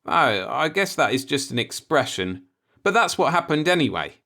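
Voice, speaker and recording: A man's voice: on the high side, big pitch swings, moderate pace, bright, forceful tone, voice pushed up, full character voice. A man voicing an earnest, law-abiding and easily alarmed man in a science-fiction audiobook, with an insistent manner. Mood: earnest, defensive. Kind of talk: audiobook character voice in a sci-fi story.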